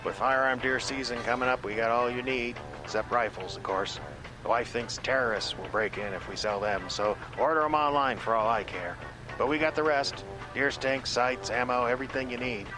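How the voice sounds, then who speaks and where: An actor playing a grumpy middle-aged bait shop owner in a comedy radio play ad, grumbling and cranky. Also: male voice, mid-range voice, normal speed, over music, lightly acted, rough recording.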